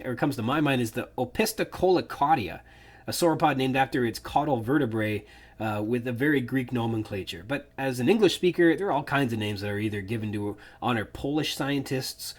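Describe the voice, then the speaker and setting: Breathy man musing on a book. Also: medium pitch. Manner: thoughtful, musing, nitpicky asides. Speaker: podcast host. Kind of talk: solo book-discussion podcast.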